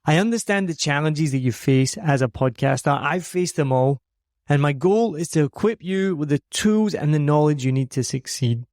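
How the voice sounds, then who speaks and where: A man's voice: a little high, expressive, moderate pace, darkish tone, dry recording, clean studio sound. Scottish man, upbeat podcast host. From Scotland. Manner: upbeat, promotional, earnest. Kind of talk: scripted podcast monologue. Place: home recording studio.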